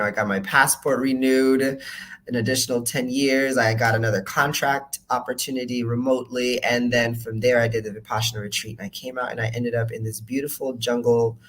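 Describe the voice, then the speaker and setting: A man's voice: medium pitch, fairly bright tone, low-quality recording. A man of about thirty from the United States tells his story as a podcast guest. His mid-range voice is casual and chatty, dotted with fillers, over a faint background hiss. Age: 30s. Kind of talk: interview storytelling.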